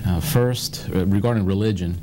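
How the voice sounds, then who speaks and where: Guy, low voice, lots of uhs, noisy background hiss. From Spain, raised in Cuba. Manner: halting, many fillers and restarts. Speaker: guest. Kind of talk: personal chat on a TV talk show.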